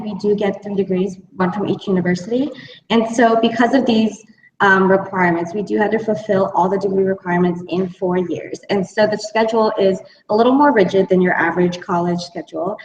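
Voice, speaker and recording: A woman's voice: medium pitch, brisk, a little mellow, lo-fi sound, a little hiss or hum. Young American woman, roomy distant sound. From Connecticut, USA. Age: young adult. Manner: conversational with many fillers. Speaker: student panelist. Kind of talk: casual panel chat.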